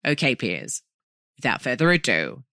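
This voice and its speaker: Animated woman hosting a podcast. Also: a bass voice, normal speed, vocal fry, no echo, clean studio sound. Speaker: podcast host. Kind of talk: scripted podcast introduction and interview hosting.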